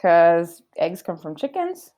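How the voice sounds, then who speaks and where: Woman teacher in her 30s doing a kids read-aloud from home, headset mic, pitch bounces around a lot. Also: sing-song, slow, dull audio. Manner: warm teacherly, explaining and questioning. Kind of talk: children's read-aloud lesson. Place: home room.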